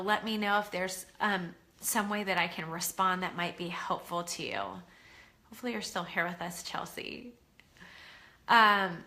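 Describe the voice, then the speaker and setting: A middle-aged woman with a bright, slightly high voice, stuffed up and nasal from a head cold. She talks casually to viewers of a live stream, warm-hearted in content but loose, with frequent ums. It sounds like a webcam or phone mic. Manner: chatty and encouraging, many ums. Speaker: parenting coach hosting a live online class.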